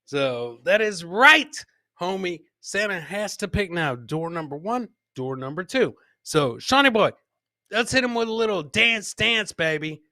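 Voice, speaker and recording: Bright, high-voiced man hosting comedy. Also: lively intonation, a little slow, no echo, clean studio sound. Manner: boisterous, jokey, repeats catchphrases. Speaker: podcast host. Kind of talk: live comedy commentary on video clips.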